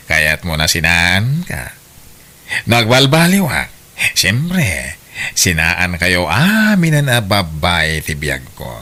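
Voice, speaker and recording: A man's voice: sits low, pushed and bright, heavily acted. A man with an Ilocano Filipino accent playing a brazen, unfaithful husband in an audio drama, brash and emphatic.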